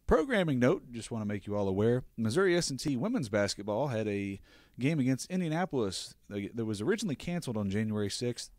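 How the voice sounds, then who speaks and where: A young man hosting a college sports show, giving an upbeat, brisk recap of games and interviewing a guest. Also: lowish voice, darkish tone, no room sound. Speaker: podcast host.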